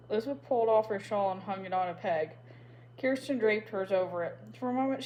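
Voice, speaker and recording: A woman narrating a children's storybook in a steady, even read-aloud voice of medium pitch. Her delivery stays unhurried and plain from line to line. A faint hum runs underneath. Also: rough recording. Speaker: storybook reader. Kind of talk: reading a children's novel aloud.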